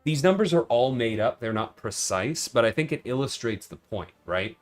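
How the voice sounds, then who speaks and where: A man's voice: mid-range voice, animated, normal speed, soft background music. A man livestreaming a game, giving casual commentary and explaining things in detail, often checking in with "right?". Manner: explanatory, tags points with "right?".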